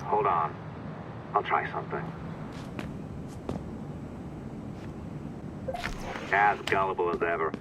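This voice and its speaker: An actor playing a scheming, taunting saboteur, sly and bossy, giving orders in a video game. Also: male voice, low-pitched, brisk, natural voice. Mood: sly, threatening. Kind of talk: video game cutscene acting. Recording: dull radio-like sound with clear noise.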